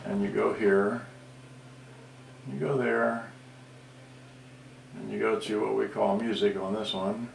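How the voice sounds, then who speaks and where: Older male demonstrator, offhand and rambling, gravelly, recorded in a reverberant room. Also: lowish voice. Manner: rambling, offhand, thinking aloud. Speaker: presenter demonstrating a vintage cassette radio for sale. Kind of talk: casual live demonstration. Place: indoors at a cluttered workbench.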